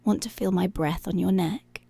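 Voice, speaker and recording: A woman playing a dominant, menacing policewoman in a teasing, seductive ASMR roleplay, commanding and drawn-out, often slipping into whispers. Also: fairly low pitch, fairly even intonation, gravelly, mellow, breathy edge. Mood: taunting, seductive. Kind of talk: intimate ASMR roleplay, slow and breathy.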